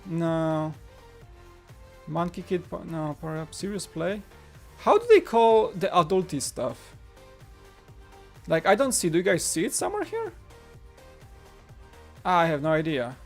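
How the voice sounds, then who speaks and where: A man in his thirties whose first language is Polish chats casually as a livestream host. His voice sits a little high for a man, and he rambles, circling back to repeat short phrases. Music plays behind him. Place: small home studio room. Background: background music.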